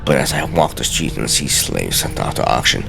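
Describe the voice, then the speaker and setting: A man with an extremely deep, creaky voice reads video game text aloud in a theatrical style. His delivery is deliberate yet widely varied in pitch, with an occasional stumble, and music plays clearly behind him. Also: animated. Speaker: let's play host. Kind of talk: dramatic reading of video game journal pages.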